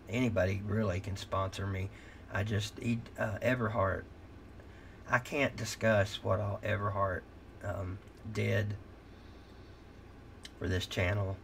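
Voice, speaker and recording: A middle-aged man rambles candidly through a late-night livestream, cursing and restarting his sentences. His low, gravelly voice is wearing thin, as if he is losing it. A faint hiss sits under the recording. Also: fairly bright tone. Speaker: livestream host.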